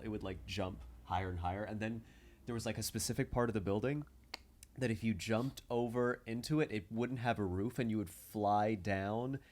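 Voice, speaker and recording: A man with a fairly low voice hosting a casual podcast chat. He lays out anecdotes in careful, step-by-step detail. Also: a little mellow.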